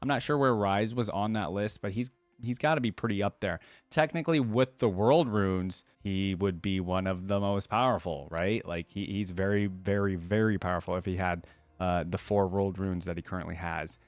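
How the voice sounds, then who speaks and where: A man's voice: low-pitched, moderate pace, dry recording, soft background music. A man hosting a reaction video, giving casual, informal commentary and repeating phrases for emphasis.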